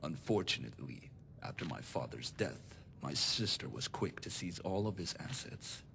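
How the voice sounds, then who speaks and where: A man playing a calculating, self-made nobleman in a video game, speaking in a formal, measured, composed way. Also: deep voice, very animated, gravelly, sharp tone, slight background noise, full character voice. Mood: cool, resolute. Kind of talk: scripted video game dialogue.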